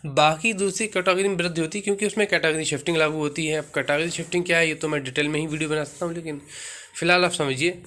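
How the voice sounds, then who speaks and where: A man's voice: fairly high pitch, steady tone, racing, pushed and bright. A man giving an informal, didactic explainer as an online coaching host, often checking that listeners follow. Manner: didactic, checks in with "theek hai". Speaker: exam-coaching video host. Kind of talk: informal explainer of exam cut-off figures.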